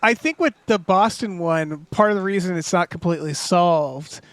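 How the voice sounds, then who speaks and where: A man chatting casually on a podcast in quick, easygoing banter. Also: sits high, fairly bright tone, no room sound.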